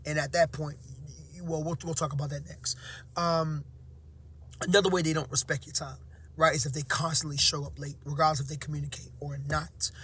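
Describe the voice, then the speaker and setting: A man who teaches, giving casual motivational advice to his viewers, slightly hoarse and half-awake, with frequent fillers and tags like "right?". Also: a little high, flat delivery, a little fast, fairly bright tone. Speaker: teacher and vlog host. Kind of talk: casual motivational talk to camera.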